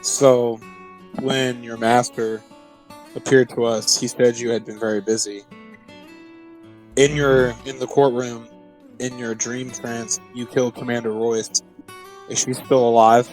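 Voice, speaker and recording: A man's voice: mid-range voice, flat delivery, a little slow, long pauses, a little mellow, poor audio. Guy playing tabletop rpg, chatting and recapping, bit of music in the back. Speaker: player. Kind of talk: casual tabletop roleplay and recap.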